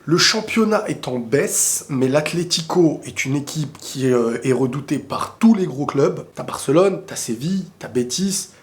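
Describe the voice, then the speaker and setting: Man with a cold, excitable football talk. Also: fairly high pitch. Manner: rambling and excitable, bursts into quick asides. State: has a cold and a sore throat. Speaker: football video creator answering viewer questions. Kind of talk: casual opinionated football talk to camera.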